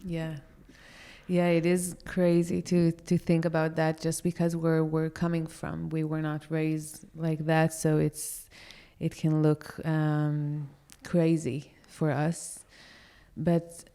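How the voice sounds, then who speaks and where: Woman guest from Israel, yoga teacher, smooth creaky low voice, pauses a lot. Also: completely flat delivery, warm tone. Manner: thoughtful, hesitant, many fillers. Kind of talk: casual interview talk.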